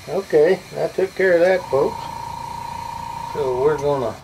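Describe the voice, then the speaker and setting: Guy in his 40s, folksy how-to talk, gravelly, noisy workshop sound. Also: on the high side, measured pace, darkish tone. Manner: folksy, easygoing, talks while working. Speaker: lure painter. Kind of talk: casual how-to demonstration. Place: indoor workshop. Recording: distant mic, noisy workshop.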